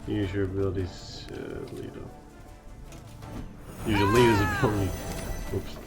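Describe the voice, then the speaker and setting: Sick male streamer with a gravelly voice. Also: on the low side, fairly even intonation, moderate pace, loud music behind the voice. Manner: casual, reads tutorial text aloud, laughs often. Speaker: game streamer. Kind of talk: casual let's-play commentary.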